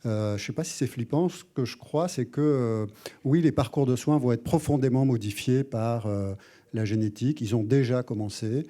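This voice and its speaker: Man, moderator, very dark mellow voice, medium pitch, hesitant phrasing. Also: moderate pace. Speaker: round table moderator. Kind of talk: unscripted moderating talk.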